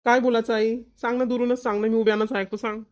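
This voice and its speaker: Woman doing a fast-talking reluctant young son. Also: on the high side, flat delivery, a little mellow, close to an everyday voice. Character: reluctant, easily startled young village son. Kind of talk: cartoon family comedy voice acting.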